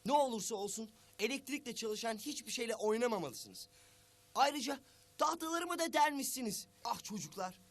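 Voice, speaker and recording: High-pitched, stern cartoon guardian. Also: male voice, expressive, moderate pace. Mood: firm, concerned. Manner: admonishing, emphatic. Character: stern but caring adult guardian, scolding children. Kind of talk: cartoon voice acting.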